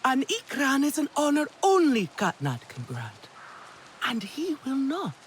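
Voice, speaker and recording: Nurturing alien elder, very expressive. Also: female voice, sits high, unhurried, slight background noise, full character voice. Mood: resigned. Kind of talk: dramatic video game acting.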